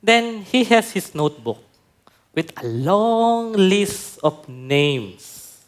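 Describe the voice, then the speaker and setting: A male pastor giving a conference talk, telling a personal story in a slow, reflective way. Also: sits high, very animated. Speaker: pastor, speaker. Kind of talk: sermon talk.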